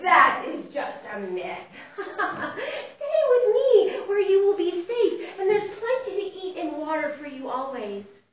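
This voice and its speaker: A woman's voice: very high-pitched, sing-song, takes it slowly, voice pushed up, exaggerated voice. Woman playing an old male mouse in an echoey room. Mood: gently dismissive. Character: wise old male mouse, welcoming, dismissive of dreams. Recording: echoey room, distant microphone.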